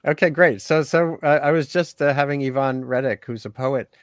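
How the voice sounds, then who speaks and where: A middle-aged man hosting a video call conversation, talking in a halting way with many restarts and fillers. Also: mid-range voice, dry recording, clean studio sound. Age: middle-aged or older. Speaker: host.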